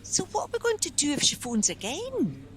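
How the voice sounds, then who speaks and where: A woman's voice: high-pitched, huge pitch swings, moderate pace. A woman playing a flustered, well-meaning helpline office worker in a naturalistic radio play, speaking hesitantly. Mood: confiding.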